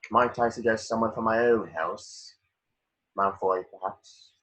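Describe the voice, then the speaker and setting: A man reading the part of a cold, sardonic professor in a script read, measured and disdainful. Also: on the low side, a bit bright, slightly rough. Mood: cold sarcasm. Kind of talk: online script table read.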